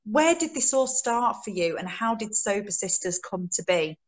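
A woman's voice: medium pitch, expressive, normal speed. A woman hosting a live interview, asking questions and reacting encouragingly to her guest. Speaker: host.

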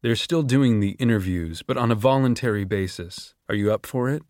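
Man playing a young male student, smooth, persuasive, calculating, dry sound. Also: on the low side, moderate pace, darkish tone, no echo, natural voice.

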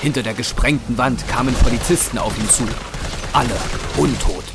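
A man's voice: mid-range voice, normal speed, loud music behind the voice. A man narrating an action horror audio drama in a dramatic, scripted style.

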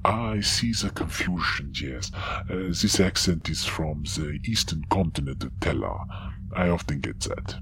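Sci-fi drama: blunt alien counselor, very low voice, Austrian-style accent, tag questions, noisy backdrop. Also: male voice, expressive, unhurried, a bit bright, full character voice. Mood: polite. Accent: Austrian-sounding. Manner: frank, tags statements with "yeah?". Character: blunt, clinical male alien counselor from Tellar. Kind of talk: sci-fi audio drama acting.

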